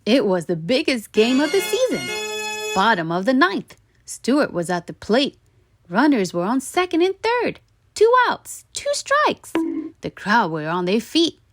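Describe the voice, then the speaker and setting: A woman reading a children's story aloud as a storyteller, using dramatic pauses and emphatic repetition. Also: fairly high pitch, huge pitch swings, no echo, clean studio sound, faint music behind. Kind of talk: animated children's read-aloud narration.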